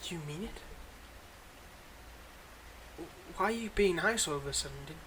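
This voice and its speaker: A man's voice: high voice, animated, fast talker, bright, forceful tone, a little hiss or hum, rough recording. A man playing a weary, wry supervillain with a secretly kind side, talking conversationally and a little hesitantly in an intimate roleplay audio monologue. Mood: surprised, hopeful.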